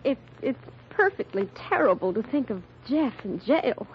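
An actress playing an earnest, trusting young daughter in an old-time radio mystery, worried and pleading in manner. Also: female voice, a little high, very animated, normal speed, over loud music. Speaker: radio drama actor. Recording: muffled, noisy old radio recording.

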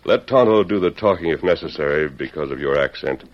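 A man playing a brave, commanding masked western hero, firm and deliberate, in an old-time radio drama. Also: a bass voice, expressive. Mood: firm, urgent. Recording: dull old radio recording.

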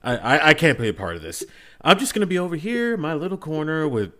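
A man's voice: fairly high pitch, sing-song, fast, a bit bright. A man chatting casually as a podcast guest, emphatic and stammering in mock exasperation. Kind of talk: casual bantering chat.

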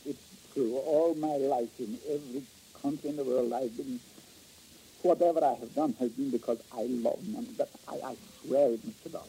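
A man's voice: medium pitch, normal speed, mellow, slight background noise, natural voice. An actor playing an oily, greedy male local guide, stammering and flowery, in an old radio drama. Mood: confessing. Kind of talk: 1950s radio drama acting. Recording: muffled old radio broadcast.

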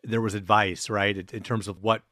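Low-voiced man swapping anecdotes. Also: level tone, moderate pace, sharp tone, no room sound, studio quality. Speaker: podcast host. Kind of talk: casual chat and anecdotes.

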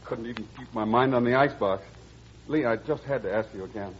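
An actor playing an earnest, anxious suitor pleading in 1940s radio drama style. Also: male voice, mid-range voice, a little fast, slightly rough, natural voice. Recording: dull old radio recording.

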